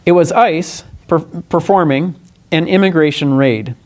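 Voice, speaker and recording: A man delivers a formal statement as a candidate at a press conference. His voice sits a little high for a man. He speaks earnestly and deliberately, sometimes stumbling and correcting himself as he reads or explains. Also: a little mellow. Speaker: congressional candidate. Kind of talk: press conference statement, partly read aloud.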